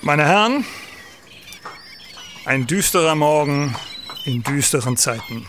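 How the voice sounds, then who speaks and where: An actor playing a brooding, troubled police senator in a radio play, speaking gravely and formally. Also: male voice, fairly high pitch, lively intonation, slow, a bit bright, soft background music.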